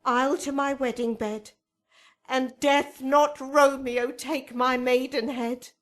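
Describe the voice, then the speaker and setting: High-voiced, slow, grieving young bride. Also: female voice, steady tone. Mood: anguished. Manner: slow, dramatic verse delivery. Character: Juliet, passionate grieving young bride of Verona. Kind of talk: Shakespearean radio drama acting.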